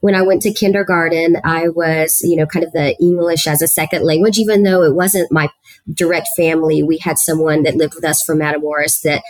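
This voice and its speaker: A woman's voice: low-pitched, steady tone, a little fast, dry recording, low-quality recording. A middle-aged woman from South Texas, a guest on an interview podcast, telling her career story in an earnest, talkative way with frequent fillers. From South Texas, USA. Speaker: guest, student housing executive. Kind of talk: conversational storytelling.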